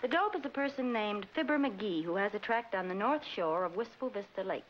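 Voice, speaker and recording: Shrewd young schemer played by a woman, brisk slangy delivery, mid pitch, muffled noisy 1940s film soundtrack. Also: lively intonation, natural voice. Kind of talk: 1940s comedy film acting.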